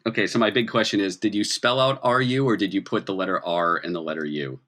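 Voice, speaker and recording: Bright-voiced man running a tabletop game. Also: on the low side, fairly even intonation, quick pace. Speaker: game master. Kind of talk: improvised tabletop game narration and casual chat.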